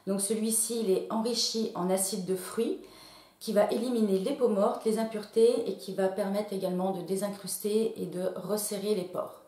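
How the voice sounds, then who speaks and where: A woman's voice: medium pitch, steady tone, normal speed, dark tone, roomy sound. A woman in her late thirties giving a casual beauty product review, chatty and full of small fillers and restarts. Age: late 30s. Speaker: beauty vlogger.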